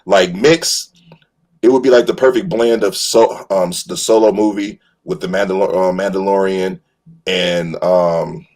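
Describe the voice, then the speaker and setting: A man in an animated, casual fan discussion, repeating phrases for emphasis and stumbling over his words as he goes. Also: low-pitched, unhurried.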